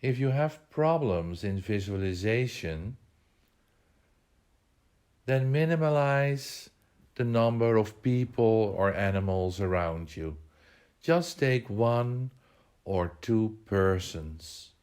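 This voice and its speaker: A man leading a guided meditation, giving measured instructions in short phrases with pauses between them. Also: on the low side, animated, darkish tone, smooth.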